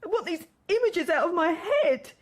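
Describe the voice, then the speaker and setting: An actress playing a bitter, wounded middle-aged wife in a radio play, upset and accusing. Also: female voice, extremely high pitch, expressive, normal speed. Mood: hurt, furious. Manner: accusing, emphatic. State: upset, tearful.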